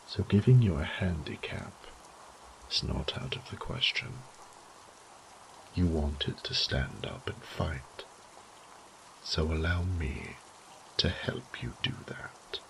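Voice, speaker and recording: A very low, slow voice of an ancient vampire lord played by a man, murmuring with condescending menace. He is possessive and cruel in a mock-tender way, as in a horror audio drama. The tone is breathy and gravelly. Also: a little hiss or hum, heavily acted, low-quality recording.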